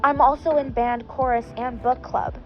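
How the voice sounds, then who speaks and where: A woman's voice: high voice, steady tone, moderate pace, dull audio, faint music behind, lightly acted. A woman reading the lines of a caring, sarcastic teen girl. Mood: wry. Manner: read in the reader's own voice.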